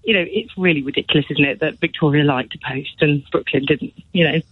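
A woman's voice: low-pitched, quick pace, husky, no echo, narrow, tinny audio. A woman, a showbiz editor, chatting on radio in a gossipy way with many restarts. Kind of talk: casual radio chat.

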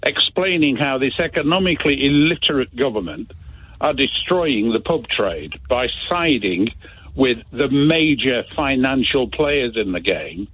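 A man's voice: medium pitch, expressive, measured pace, slightly rough, no room sound. A man calling a radio show who argues combatively and lectures the host. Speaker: caller. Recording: tinny phone line.

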